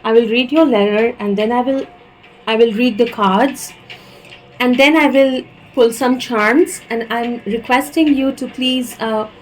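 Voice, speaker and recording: A fairly high-pitched woman with an Indian accent gives a slow, searching tarot reading, often pausing and restarting her sentences. Soft music plays quietly behind her voice. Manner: hesitant, many fillers and restarts. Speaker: tarot reader.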